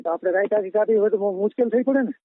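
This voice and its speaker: A man's voice: high-pitched, racing, no echo. A man with a Saurashtra Gujarati accent in a private phone conversation, insistently repeating his instructions as the caller. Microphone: telephone. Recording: muffled lo-fi phone call recording.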